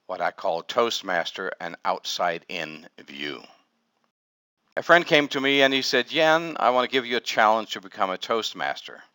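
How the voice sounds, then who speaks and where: A man's voice: fairly low pitch, sharp tone, dry recording. A middle-aged man from Denmark, giving a humorous prepared speech full of wordplay at a public speaking club. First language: Danish. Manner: playful storytelling with wordplay.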